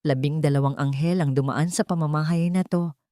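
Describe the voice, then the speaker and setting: A woman's voice: low-pitched, fairly even intonation, brisk, warm tone, dry recording, studio quality, lightly acted. A woman voicing an earnest, remorseful father in love in an audiobook, solemn and heartfelt. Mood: tender, pleading. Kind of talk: dramatic audiobook dialogue.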